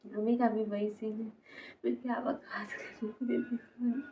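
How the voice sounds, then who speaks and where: A woman in her twenties talking personally to camera as a vlogger, halting and repetitive, often trailing off mid-sentence, in a tinny, phone-like recording. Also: a little high, little pitch movement, warm tone. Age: 20s. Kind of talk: personal confessional talk to camera. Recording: tinny phone-like sound, noisy background.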